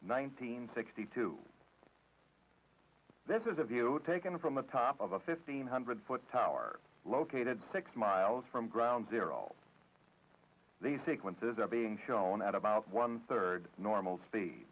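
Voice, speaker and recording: A man's voice: mid-range voice, animated. American man narrating, dull vintage film sound. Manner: formal, measured, matter-of-fact. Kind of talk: scripted 1960s documentary narration.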